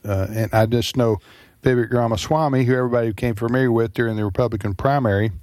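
A man's voice: medium pitch, fairly even intonation, quick pace, a little mellow, dry recording, studio quality. A man hosting a talk radio show, chatting hesitantly with many uhs and restarts. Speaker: radio host.

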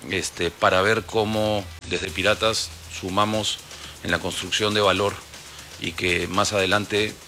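Peruvian man in his forties, bright voice. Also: on the low side, little pitch movement, faint music behind. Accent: Peruvian Spanish. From Peru. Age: 40s. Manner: measured, explanatory, many fillers and restarts. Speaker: football club executive, guest. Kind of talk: radio interview answers.

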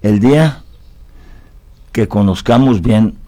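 A man's voice: fairly low pitch, takes it slowly, warm tone. A man from Italy talking reflectively as an interview guest, philosophical and often tagging his points with questions seeking agreement.

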